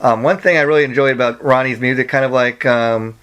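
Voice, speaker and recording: A man's voice: medium pitch, steady tone, fast talker, fairly bright tone, smooth. A man interviewing musicians casually over a phone call, rambling and often saying "you know" and "like".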